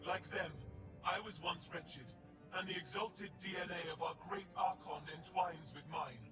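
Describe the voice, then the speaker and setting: Man acting a haughty high-pitched alien zealot priest. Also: normal speed, recorded from a distance, close to an everyday voice, low-quality recording. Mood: haughty, fervent. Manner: pompous, grandiose, declaiming. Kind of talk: video game cutscene acting. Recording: off-mic, loud music behind.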